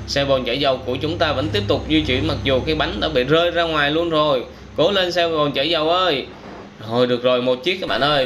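Young male host, high-ish voice, excitable kids' game commentary, faint noise behind. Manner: excitable, frequent wow exclamations, addresses viewers directly. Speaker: channel host. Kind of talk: game reaction commentary for kids.

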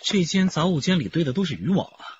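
An actor playing a calm, methodical male detective who instructs steadily in a radio drama. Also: a little high, fast, heavy background noise. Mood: searching. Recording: dull lo-fi radio play audio.

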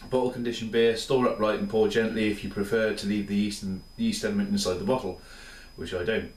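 A man's voice: lowish voice, fairly even intonation, fairly quick, a little mellow, distant mic. A tired man in his thirties, rambling casually and enthusiastically through a review in his small home kitchen. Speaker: beer reviewer. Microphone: camera mic at a few steps. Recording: roomy, off-mic home camera sound.

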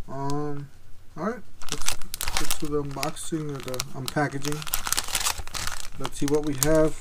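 A man's voice: on the high side, background noise. A man in his thirties casually reviewing a product at an office desk, rambling with lots of ums and restarts. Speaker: tool reviewer. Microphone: clip-on camera mic.

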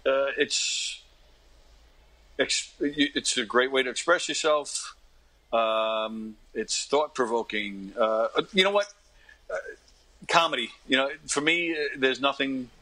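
A middle-aged man giving casual interview answers in a halting, jokey manner. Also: medium pitch, pushed and bright, poor audio. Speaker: podcast guest, comedic actor and performer.

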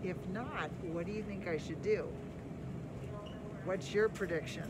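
A woman's voice: lowish voice, a little slow. A middle-aged American woman, a teacher talking animatedly and casually to her students in a video lesson. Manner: animated, addressing children directly. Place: inside a car, then a grocery store. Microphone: phone at arm's length. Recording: noisy car and store ambience.

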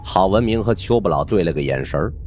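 A man's voice: sits low, animated, quick pace, darkish tone, soft background music. A man narrating a suspense audiobook in a colloquial, theatrical storytelling style. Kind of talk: dramatic scripted narration of a supernatural suspense novel.

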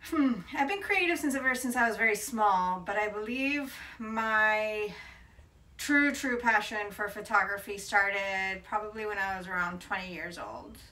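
A woman's voice: mid-range voice, unhurried, bright, forceful tone, distant mic, rough recording. A woman in her forties from Colombia, answering interview questions thoughtfully with frequent hesitations. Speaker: interviewed photographer. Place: bright room by a window, indoors.

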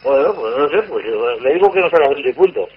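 Raspy, exasperated older Spanish man. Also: mid-range voice, lively intonation, a little fast, no echo, muffled recording. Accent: Spain. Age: 60s. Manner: confused, exasperated. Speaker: private seller answering a phone call. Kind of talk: phone conversation.

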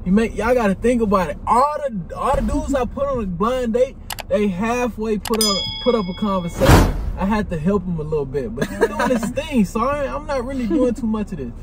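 A man's voice: high-pitched, lively intonation, brisk, over a noisy crowd. A young man casually hosting a blind date video with lots of slang, sitting in a car. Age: young adult. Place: inside a parked car.

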